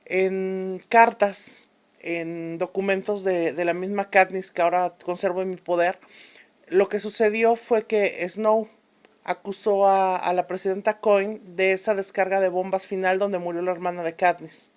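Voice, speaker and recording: A woman plays an unsentimental female historian being interviewed on a fictional programme. Her voice sits at a medium pitch. She explains things deliberately and with authority, in radio drama style. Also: flat delivery, a little mellow, smooth, natural voice. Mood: measured, authoritative.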